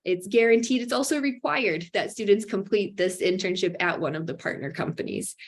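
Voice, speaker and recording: A woman hosting an online information session, talking in an upbeat way with many fillers and bright emphatic rises. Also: medium pitch, a little fast, a little hiss or hum. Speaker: webinar host for a graduate program.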